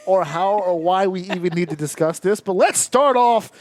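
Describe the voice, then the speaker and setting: A man's voice: high voice, normal speed. A man in his thirties hosting a casual podcast round table, talking in an emphatic, profane, ranting way. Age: 30s. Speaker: podcast host.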